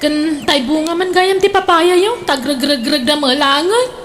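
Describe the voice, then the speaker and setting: An actress with an Ilocano accent playing a modest, coaxing daughter in a comedic radio drama. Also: female voice, sits high, animated, a little slow, a bit bright, a little hiss or hum. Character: modest daughter asking her father for favours.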